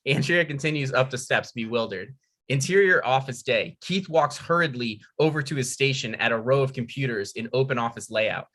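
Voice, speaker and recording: Bright-voiced man reading stage directions. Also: medium pitch, moderate pace. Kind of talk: scripted narration.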